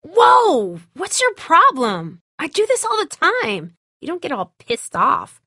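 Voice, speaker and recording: Game role: blunt, sarcastic teen girl survivor, lively and varied delivery, very dry close sound. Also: extremely high pitch, takes it slowly, no room sound, full character voice. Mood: confrontational. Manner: blunt, snarky, slangy. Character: tough, sarcastic, blunt teenage girl surviving a zombie apocalypse. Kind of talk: naturalistic video game voice acting.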